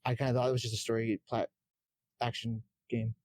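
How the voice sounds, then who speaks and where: Male gaming podcast panelist, quick casual talker, medium pitch, dry close recording. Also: flat delivery, a little mellow, no echo.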